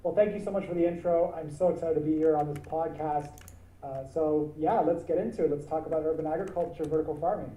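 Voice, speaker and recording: A young man with an American accent telling his story as a podcast guest, animated and quick, often restarting sentences. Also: a little high. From half Czech, half British. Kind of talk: casual interview talk. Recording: muffled, roomy, distant with faint noise.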